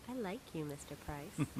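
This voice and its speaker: A woman's voice: on the low side, a little hiss or hum, rough recording. A young woman playing a fragile but wry female psychiatric patient recalling a painful night. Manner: quiet, hesitant, wry.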